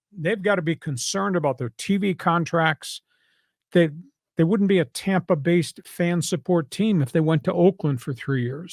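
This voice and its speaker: Older host, gravelly voice, dry sound, sure of his opinions and fond of old stories. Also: on the high side, dry recording. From New York. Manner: opinionated, storytelling. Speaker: sports talk host. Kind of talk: casual sports talk.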